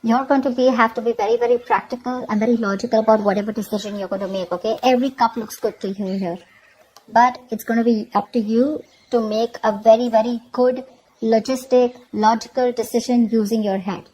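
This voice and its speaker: High-voiced woman giving a tarot reading. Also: steady tone, a little fast, warm tone, slight background noise, poor audio. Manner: conversational, often checking in with okay and you know. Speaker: tarot reader and channel host. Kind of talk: improvised tarot reading addressed to the viewer.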